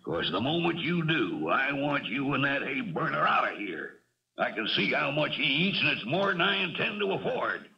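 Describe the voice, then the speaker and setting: An actor playing a gruff, brusque middle-aged farmer father with a rural American accent in a 1970s radio drama. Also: mid-range voice, sing-song, moderate pace, bright, forceful tone, full character voice. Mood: stern. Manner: brusque, commanding. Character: gruff, hard-working, grudging farmer father. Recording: dull old radio broadcast.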